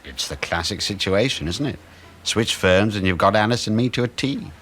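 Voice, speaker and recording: An actor playing an older, henpecked British husband in a 1970s radio drama, with a dry, insinuating, sarcastic manner. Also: male voice, fairly low pitch, expressive, moderate pace, fairly bright tone, breathy edge. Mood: sardonic, inviting. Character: sardonic, scheming henpecked husband Fred, older man. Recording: noisy 1970s radio recording.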